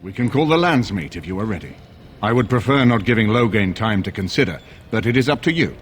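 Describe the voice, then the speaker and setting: A man's voice: fairly low pitch, big pitch swings, fairly quick. An actor playing a resolute, grave nobleman in a fantasy game, with a formal, stately delivery. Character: grave, resolute noble lord rallying allies. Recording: loud game music under the voice. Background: loud music.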